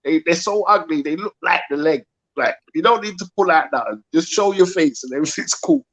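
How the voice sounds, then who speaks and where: A man's voice: on the high side, lively intonation, fairly quick, a bit bright, lo-fi sound. A man joking boisterously in a casual live panel chat.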